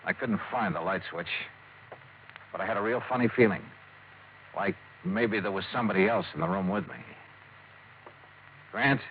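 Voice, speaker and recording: Hard-boiled radio detective, tinny vintage sound. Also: male voice, lowish voice, animated, normal speed, creaky, pauses often, slight background noise. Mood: brooding narration. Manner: clipped, hard-boiled, slangy delivery. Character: tough, skeptical male freelance insurance investigator, world-weary. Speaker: lead actor and narrator of a radio detective series. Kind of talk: old-time radio detective drama acting. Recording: tinny, band-limited vintage radio, music behind.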